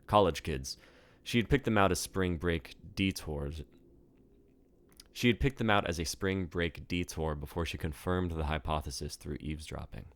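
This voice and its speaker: A man's voice: deep voice, moderate pace. A man reading a story aloud as its author, in scripted narration.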